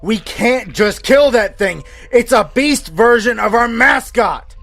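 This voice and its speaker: A man voicing a panicked, snapping scientist in a horror story, loud and urgent. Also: very high-pitched, lively intonation, takes it slowly, faint music behind, voice pushed up, exaggerated voice. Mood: panicked, angry. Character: panicked, snapping staff scientists behind a door.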